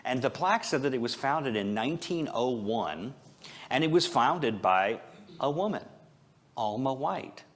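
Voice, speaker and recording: A man's voice: mid-range voice, animated, unhurried. A man preaching an informal, conversational sermon as a pastor, with a joking, self-deprecating manner and rhetorical questions. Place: church service, speaking before a congregation.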